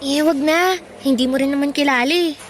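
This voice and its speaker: A woman playing a shy, hesitant high school girl in love, in Filipino radio drama style with a Filipino accent. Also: a little fast, close to an everyday voice. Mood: bashful, coy. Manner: bashful, hesitant. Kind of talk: Tagalog radio drama acting. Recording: faint music bed.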